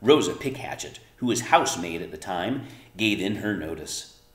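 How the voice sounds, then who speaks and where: A man reading a storybook aloud as narrator, with expressive, emphatic phrasing and casual explanatory asides. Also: sits low, normal speed, reverberant.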